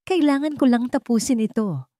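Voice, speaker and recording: A woman's voice: on the high side, moderate pace, mellow, no room sound, pitched above the performer's own voice, lightly acted. A stern, wealthy businessman read by a woman from a romance audio drama. He is brooding and resolute, with hidden tenderness. The sound is dry and close. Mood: remorseful.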